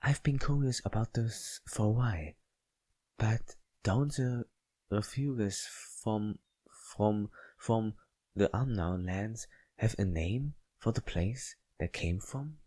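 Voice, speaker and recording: Man reading as a curious, slow-spoken student. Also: lowish voice, darkish tone. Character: curious student questioning the teacher.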